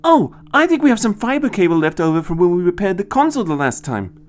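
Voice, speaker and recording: A man's voice: high-pitched, very animated, fast, voice pushed up. A man voicing an eager, teasing teenage granddaughter in a storybook, with a lilting delivery. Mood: helpful, eager. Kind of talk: dramatized storybook reading.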